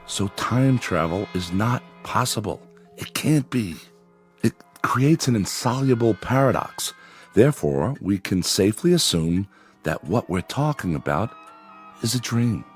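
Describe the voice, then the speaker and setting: Male as deliberate, reasoning psychiatrist, lowish voice with lively inflection, drama with music behind. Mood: reasoning, emphatic. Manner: deliberate, explanatory, emphatic. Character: measured, rational male psychiatrist reasoning with patient. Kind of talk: dramatic dialogue and first-person narration.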